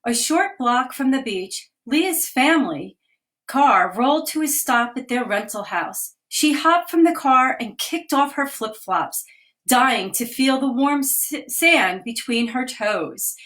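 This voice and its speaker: A woman with a slightly raised voice reads a children's picture book aloud over a live video stream. She paces each line gently and clearly, stopping now and then to point out what the pictures show. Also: high voice, animated, takes it slowly. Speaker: children's author reading aloud. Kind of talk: storybook reading aloud. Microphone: phone or webcam on a live video stream.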